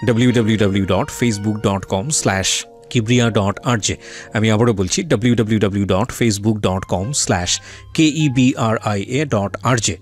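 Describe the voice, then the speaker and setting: Male interview host, conversational with English mixed in, music underneath. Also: lowish voice. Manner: mixes English phrases into Bengali. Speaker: radio show host interviewing a guest. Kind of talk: conversational interviewing with asides to listeners.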